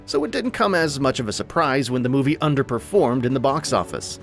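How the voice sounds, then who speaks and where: A man reading scripted narration as the host of a movie list show, in a polished, emphatic presenter's delivery. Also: fairly high pitch. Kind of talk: scripted video essay narration.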